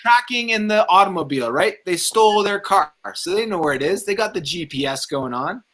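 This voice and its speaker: A man's voice: sits high, lively intonation, fairly quick, a bit bright. A man hosting a casual film review, ranting in a blunt, emphatic way with heavy swearing. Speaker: film review show host. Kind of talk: casual ranting movie commentary.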